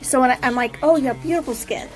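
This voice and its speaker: A woman's voice: high voice, fast. A woman from a show's crew chatting casually and offering compliments, with other chatter behind her. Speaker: off-camera show crew member. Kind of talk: casual chat. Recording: busy chatter in the background.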